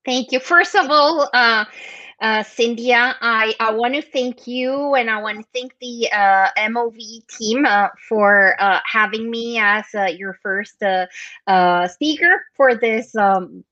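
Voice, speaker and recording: A woman in her forties from Colombia, a guest on a podcast interview, talking with many pauses, fillers and restarts. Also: a little high, sharp tone, no echo. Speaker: guest, marketing leader. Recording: dull lo-fi sound, dry.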